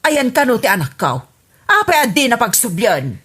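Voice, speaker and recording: A woman with an Ilocano accent playing a quarrelsome mother in a radio drama, with a husky voice. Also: a little high, big pitch swings, normal speed, a bit bright, full character voice. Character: sharp-tongued middle-aged mother Marta.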